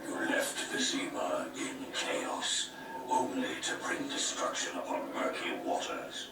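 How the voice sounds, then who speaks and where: An actor playing a cold, ancient wraith king in a video game, speaking in breathy, slow, ominous and grandiose pronouncements. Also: natural voice. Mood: accusing. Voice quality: breathy, whispery. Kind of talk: dramatic video game cutscene acting. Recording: echoey, distant, noisy game audio playback.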